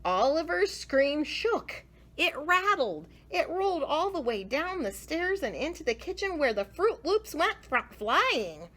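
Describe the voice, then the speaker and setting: Woman, children's story reader, bright voice, slow pace, theatrical emphasis, drawn-out words. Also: high voice, sing-song. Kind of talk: animated picture-book read-aloud.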